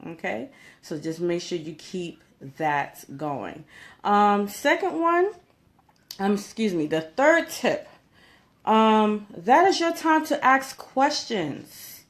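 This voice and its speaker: A woman's voice: medium pitch, very animated, slow. A woman speaking as a career coach in an informal advice vlog, conversational and emphatic, often checking in with her listeners. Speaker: career coach giving job interview tips.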